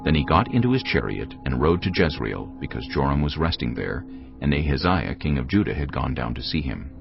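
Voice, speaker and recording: A man's voice: extremely low pitch, very animated, brisk, vocal fry. A man narrating scripture solemnly for a dramatized audio production. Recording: dull lo-fi audio with music.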